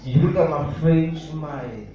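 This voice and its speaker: A man's voice: on the high side, slow. A man with a Cameroonian accent interpreting a sermon live, phrase by phrase. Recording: dull, heavily echoing hall, music behind.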